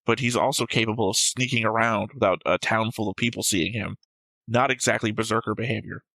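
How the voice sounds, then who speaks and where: A man's voice: lowish voice, steady tone, a little fast, vocal fry, sharp tone, dry recording, low-quality recording. A man co-hosting a casual discussion show, going through notes in a dry, analytical way. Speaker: reaction show co-host.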